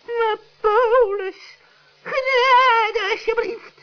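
A man's voice: extremely high pitch, steady tone, exaggerated voice. A man voicing a wicked, whining witch with a wailing delivery in a children's radio play. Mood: pleading. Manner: wailing, drawn-out. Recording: dull old radio recording.

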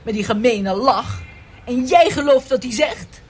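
A woman's voice: fairly high pitch, measured pace, darkish tone, slightly rough. An actress playing a frightened, bitter middle-aged mother in a Dutch radio play, agitated and accusing in manner. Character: frightened, paranoid mother on a holiday, bitter, panicky.

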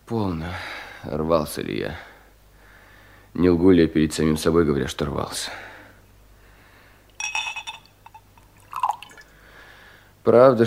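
A young government official in a vintage Russian audio play, brooding and full of self-doubt. The voice sits fairly low. He questions himself theatrically, swelling with agitation and sinking into hushed weariness. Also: creaky, full character voice. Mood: resigned doubt. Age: young adult.

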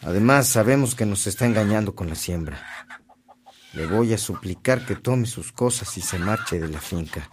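A man performs a conscientious young farm manager in a radio drama. His voice is low, with restrained, polite firmness. Some noise sits behind the recording. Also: lively intonation, moderate pace, lightly acted. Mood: firm, polite. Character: earnest, conscientious young man helping run family farm.

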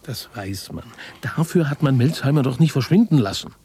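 An actor playing an insistent investigator in a radio drama, with a husky voice and a probing, restrained manner. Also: male voice, mid-range voice, expressive, moderate pace, mellow, a little hiss or hum, close to an everyday voice. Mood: quietly persistent. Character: insistent male investigator searching for a missing journalist. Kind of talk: naturalistic radio drama dialogue.

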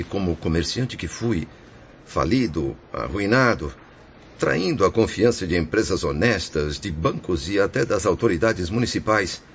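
An actor playing a remorseful, resigned spirit of a ruined merchant in a solemn, declamatory religious radio drama. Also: male voice, sits low. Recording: dull lo-fi audio with faint noise.

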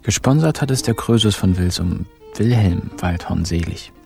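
A man's voice: low voice, animated, normal speed, gravelly, music in the background. An actor playing a wry, irreverent man who tells a crime story in colloquial present tense for a radio play. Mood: wry storytelling. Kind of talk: colloquial first-person radio drama narration in present tense.

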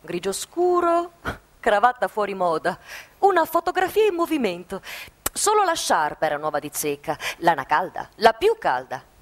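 An actress narrating a theatrical radio drama in a measured storytelling manner. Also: female voice, medium pitch, wildly swooping pitch, a little hiss or hum.

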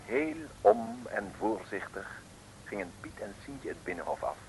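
A man's voice: low voice, expressive, a little slow. A man narrating an old radio serial in a playful, theatrical, mock-heroic scripted style. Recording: old radio recording, faint noise.

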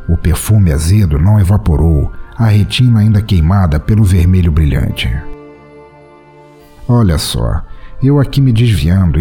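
A man with a Brazilian Portuguese accent, hosting a podcast and reading a short story aloud with theatrical, playful pauses. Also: low-pitched, mellow. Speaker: podcast host and story reader.

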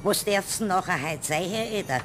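An actress playing a puzzled, insistent village grocer in a radio play, with a Bavarian accent. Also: female voice, medium pitch, fairly quick, noisy background, close to an everyday voice. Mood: businesslike. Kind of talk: German radio play acting.

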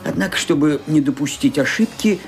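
An actor playing a formal, professional family doctor in a detective radio play. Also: male voice, a little high, lively intonation, brisk. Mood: grave, formal. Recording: music behind the voice. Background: music.

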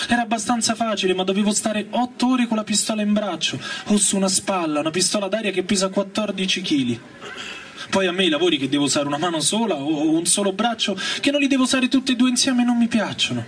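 A man's voice: sits high, slight background noise. A man giving a theatrical, colloquial first-person reading of a novel, with emphatic repetitions. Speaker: reader and stage performer. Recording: voice distant under loud guitar music. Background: electric guitar and organ music.

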